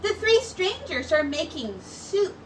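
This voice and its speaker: A woman's voice: extremely high pitch, big pitch swings, slow, voice pushed up, full character voice. A woman voicing a brave, curious little village girl in a children's storybook. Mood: eager. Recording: distant mic with faint background noise.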